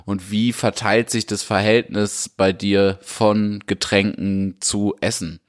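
A man's voice: fairly low pitch, flat delivery, slow pace. A man from Karlsruhe hosts an interview podcast and asks friendly strings of questions. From Karlsruhe, Germany. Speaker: podcast host. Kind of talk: casual interview hosting.

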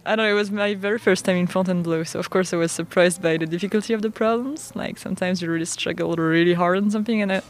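A woman from France speaking in a slow, reflective way about her experience. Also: fairly low pitch, steady tone, a little hiss or hum. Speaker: festival participant.

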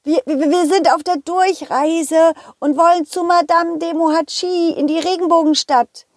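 A woman voicing a cheerful, confident dog hero in a children's audio play, animated and coaxing as the dog talks to young listeners. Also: very high voice, a little slow, no room sound, studio quality, voice pushed up. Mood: friendly, eager.

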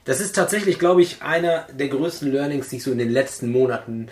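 A man hosting a casual interview, eager and affirming, quick to agree. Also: mid-range voice, darkish tone, echoey room. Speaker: podcast host.

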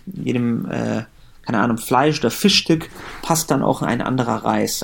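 Man, late 30s, from Germany; relaxed, rambling podcast guest. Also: medium pitch. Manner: relaxed, chatty, many fillers and restarts. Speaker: company co-founder and managing director. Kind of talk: casual podcast interview.

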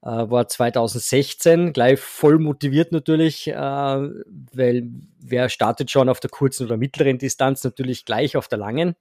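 A man's voice: mid-range voice, moderate pace, darkish tone, dry recording, studio quality. A man, a guest on a casual podcast, talking hesitantly with fillers and self-deprecating humor. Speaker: podcast guest, amateur cyclist.

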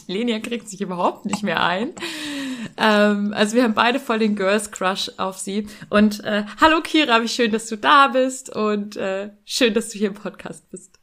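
A woman's voice: mid-range voice, measured pace, fairly bright tone. A woman hosting a podcast, chatty and teasing with her guest. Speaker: podcast host. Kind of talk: casual podcast interview.

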